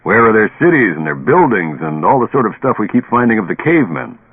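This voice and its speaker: An actor playing a skeptical, brash drinking buddy who is blunt, slangy and needling in a radio play. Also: male voice, lowish voice, sing-song, quick pace, slightly rough, phone-quality sound. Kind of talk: old radio drama acting. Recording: band-limited old radio recording, echoey.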